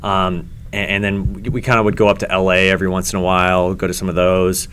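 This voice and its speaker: Deep-voiced man rambling through stories. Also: monotone, a bit bright, clear, resonant tone. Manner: rambling, halting with many ums and restarts. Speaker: comedy show host. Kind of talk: casual podcast chat.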